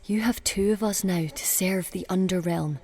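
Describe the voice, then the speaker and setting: Mellow-voiced, earnest young goddess. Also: female voice, on the low side, normal speed. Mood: pleading, respectful. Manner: intense, formal, earnest. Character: proud, earnest young goddess daughter yearning for freedom. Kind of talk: audio drama acting.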